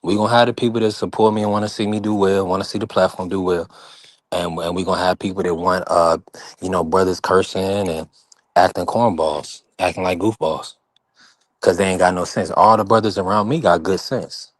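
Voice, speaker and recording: A low-voiced man from North Carolina hosts a live boxing stream, speaking firmly and a little preachy. The sound is dry and close, with no room around it. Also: flat delivery, a little fast, no room sound. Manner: firm, preachy, talks over others. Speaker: channel host. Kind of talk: heated casual live-stream chat.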